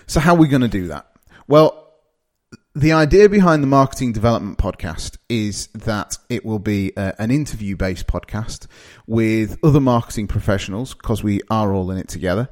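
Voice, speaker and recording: A man's voice: fairly low pitch, normal speed. A man with a northern English accent, in his thirties, introducing his podcast in a loose conversational way, with frequent fillers and restarts. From northeast England. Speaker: podcast host.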